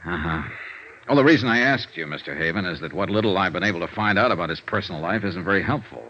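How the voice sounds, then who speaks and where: An actor playing a wry, persistent insurance investigator in a vintage radio noir drama, clipped and probing. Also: male voice, low voice, animated, fast, bright, forceful tone, slight background noise. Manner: clipped expense-account narration, probing questioning. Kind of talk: 1950s radio noir acting, narration and dialogue. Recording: vintage radio recording, dull, music behind.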